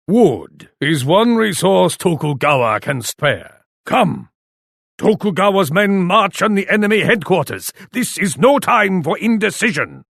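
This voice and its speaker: An actor playing a proud, imperious Japanese warlord in a video game, speaking formally. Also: male voice, a little high, vocal fry, fairly bright tone, dry recording, clean studio sound, exaggerated voice. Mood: condescending, then commanding, rousing. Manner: imperious, formal, speaks of himself in third person. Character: proud, haughty Japanese warlord commanding his armies. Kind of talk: video game character voice lines.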